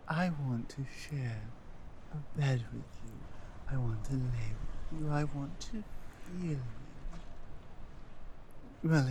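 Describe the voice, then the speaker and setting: A woman voicing a strong, smitten female adventuring healer in an intimate fantasy ASMR roleplay, speaking haltingly with breathy, sultry asides. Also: very deep voice, dark tone, a little hiss or hum, pitched below the performer's own voice, heavily acted.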